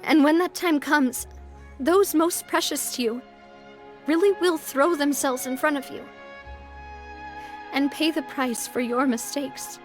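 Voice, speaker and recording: A woman playing a refined, warm-hearted young leader in a video game, talking in a gentle, earnest, thoughtful way. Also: high voice, unhurried, long pauses. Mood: somber. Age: young adult. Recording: background music.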